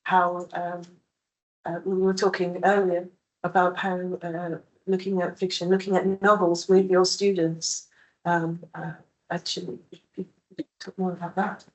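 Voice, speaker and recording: A woman's voice: fairly low pitch, level tone, measured pace, poor audio. A woman moderating a panel discussion, asking a question hesitantly with many fillers. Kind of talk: panel discussion at a book event.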